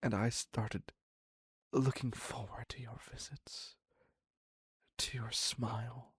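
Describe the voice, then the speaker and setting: A man playing an obsessive, clingy criminal captor in an intimate audio roleplay, husky and halting, slipping into whispers. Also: medium pitch, level tone, a bit bright, no echo, heavily acted. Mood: tender, confessional. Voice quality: husky, breathy, gravelly. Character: obsessive male yandere captor, ex-asylum criminal, clingy and needy.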